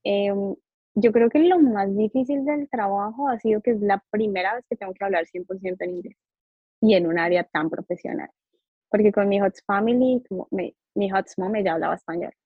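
A woman's voice: mid-range voice, normal speed, husky, no room sound, phone-quality sound. A young woman whose first language is Spanish, a psychologist guest telling her story in a casual interview and animatedly acting out the people she quotes. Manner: animated, acts out quoted dialogue. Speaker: psychologist and behavioral therapist guest.

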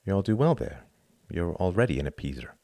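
A man's voice: sits low, sing-song, fairly quick, dark tone, dry recording, studio quality, heavily acted. Man acting sardonic, emphatic friend, dramatically inflected. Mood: calm, wry. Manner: articulate, emphatic, lecturing. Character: reasoning, sardonic male friend who erupts in exasperation.

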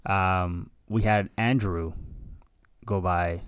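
A man's voice: deep voice, fairly even intonation, drawn-out words, smooth, no room sound, studio quality. A man in his thirties from Cuba, answering conversationally as an interview guest in a gracious, effusive manner. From Cuba, moved to the US at six. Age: 30s. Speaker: podcast guest, graduating medical student.

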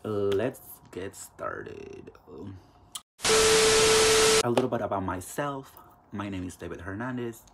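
A man's voice: lowish voice, big pitch swings, slow, gravelly, pauses often, background noise. A man in his twenties with a Mexican accent, talking candidly and bluntly with lots of fillers and swearing as the host of a solo podcast. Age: 20s. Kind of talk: casual solo podcast monologue.

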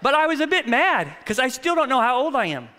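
A man in his thirties, a pastor, preaching a sermon with prayer and lively stories that he acts out. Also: very high-pitched, a little fast. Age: 30s. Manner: animated, storytelling with acted-out quotes. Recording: long reverb of a church hall.

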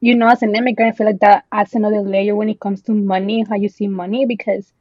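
A woman's voice: mid-range voice, little pitch movement, fast, no echo. Woman in her 20s from el salvador, chatty podcast guest, ums a lot, drops spanish words, muffled dry audio. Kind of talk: casual podcast chat.

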